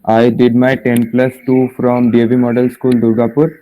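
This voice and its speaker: A young male student from West Bengal introducing himself, hesitant and flat. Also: mid-range voice, moderate pace, slight background noise. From West Bengal, India. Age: young adult. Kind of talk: self-introduction. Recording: tinny phone-like call line.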